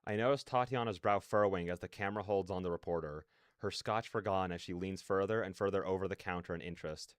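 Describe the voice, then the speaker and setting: A man reading aloud as a wry first-person narrator of a story game, in measured, dramatic storytelling. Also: low voice, clear, resonant tone, no echo, studio quality, voice pushed down, lightly acted. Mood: wry, intent. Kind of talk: scripted narration read aloud.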